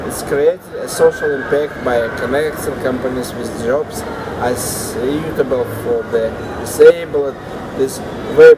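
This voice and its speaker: Slow, hesitant man. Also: on the high side. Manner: halting, heavy hesitation fillers. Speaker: co-host. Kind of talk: casual chat reviewing startups. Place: indoor atrium of a large building. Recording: noisy ambience in a large space.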